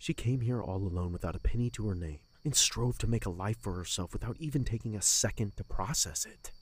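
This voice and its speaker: A man playing a heartbroken, guilt-ridden young captain in a fantasy audio drama, speaking haltingly with raw emotion and a husky voice. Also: lowish voice, no room sound. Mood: grieving, tender.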